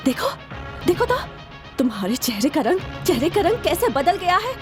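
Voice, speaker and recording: A vengeful new bride in a screen melodrama. Her voice sits high, and she delivers her accusations with theatrical deliberation. Prominent music plays behind her. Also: sing-song, normal speed. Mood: taunting. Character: vengeful young bride confronting her murderous husband. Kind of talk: melodramatic Hindi screen drama acting.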